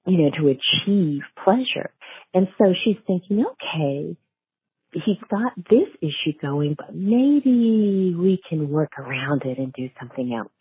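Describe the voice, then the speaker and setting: Low-voiced woman, a candid interview guest. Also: breathy edge, dry recording, narrow, tinny audio. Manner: explanatory, candid. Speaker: guest, novelist. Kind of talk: conversational interview discussion.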